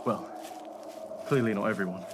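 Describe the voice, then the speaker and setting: An actor playing a calculating, glib hotel manager who is secretly a werewolf, in a radio play. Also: male voice, on the low side, normal speed, close to an everyday voice. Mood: sly, evasive. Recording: noisy recording with loud background ambience.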